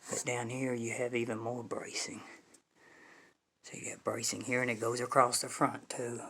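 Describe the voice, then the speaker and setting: A man's voice: mid-range voice, little pitch movement, normal speed, sharp tone. An American man giving a casual, folksy product review to viewers, repeating points for emphasis. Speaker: home audio equipment reviewer. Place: indoor room. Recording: echoey room, distant microphone.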